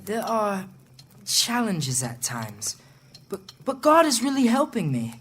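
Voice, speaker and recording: A woman's voice: mid-range voice, huge pitch swings, slow, fairly bright tone, a little hiss or hum, full character voice. An actress playing a good-natured, earnest young boy in a family audio drama. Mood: sheepish, earnest.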